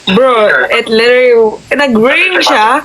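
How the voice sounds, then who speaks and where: A woman's voice: on the high side, unhurried, background noise. A woman with a Filipino accent hosting a livestream, chatting and teasing casually in mixed Tagalog and English. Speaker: livestream host.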